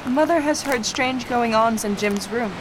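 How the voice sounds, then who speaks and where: A woman's voice: a little high, a little slow, background noise, lightly acted. A woman playing an impatient young woman in an amateur radio drama, speaking urgently and insistently. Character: young, impatient female daughter.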